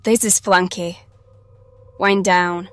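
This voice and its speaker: Actress as an uncanny maiden, close dry sound. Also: female voice, fairly low pitch, fairly even intonation, slow, fairly bright tone, no room sound. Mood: assured, solemn. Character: mysterious uncanny maiden, earnest, eager, fearless ally. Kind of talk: audio drama acting.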